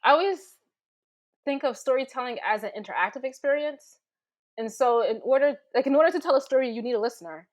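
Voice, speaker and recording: Woman, slightly high pitch, informal, halting, chatty panel talk. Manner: animated, halting restarts, many "like"s. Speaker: panelist, storyteller. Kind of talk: informal explanation in a panel discussion.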